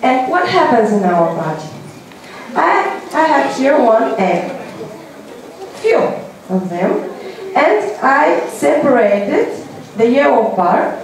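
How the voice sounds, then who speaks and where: A woman with a Bulgarian accent demonstrating science experiments to an audience in halting, simple English sentences. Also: high-pitched, big pitch swings. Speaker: science show presenter. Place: large hall with a live audience. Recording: echoey hall, distant mic, audience noise.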